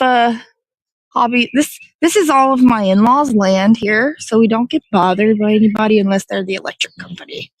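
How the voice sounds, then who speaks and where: A woman's voice: a little high, rough recording. A woman, hosting a casual, rambling livestream in a playful, slangy, easygoing way. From American South. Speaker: host of a morning livestream show.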